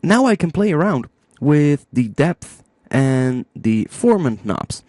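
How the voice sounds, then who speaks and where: A man hosting a casual step-by-step music software tutorial, thinking aloud informally as he demonstrates. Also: fairly high pitch, big pitch swings, slow pace, dry recording. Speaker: music production tutorial host.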